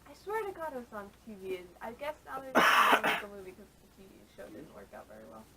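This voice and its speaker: A woman's voice: fairly high pitch, wildly swooping pitch, quick pace, gravelly, pushed and bright, recorded from a distance, a little hiss or hum, low-quality recording. A woman co-hosting a podcast, chatting casually with dry teasing, recorded through a camera microphone. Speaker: podcast co-host. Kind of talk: casual bantering podcast chat. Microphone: camera audio. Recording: camera audio with crowd chatter behind.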